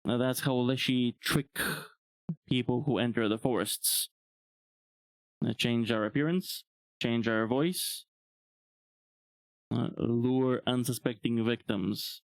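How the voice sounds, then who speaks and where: A man's voice: medium pitch, slow, long pauses, no room sound, low-quality recording. A young man from Bulgaria, a native Bulgarian speaker, chatting casually on a live stream, rambling and chuckling often. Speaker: VTuber streamer.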